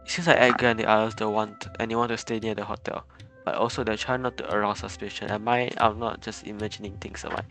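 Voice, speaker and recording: A man's voice: on the low side, level tone, fast talker, a bit bright. A man giving live gameplay commentary as a streamer, often stammering and repeating his words over game music. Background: video game music.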